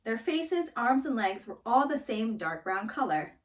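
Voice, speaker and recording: A woman reading a children's story aloud in an expressive, dramatic storytelling manner. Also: fairly high pitch, unhurried, distant mic. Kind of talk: scripted storybook read-aloud.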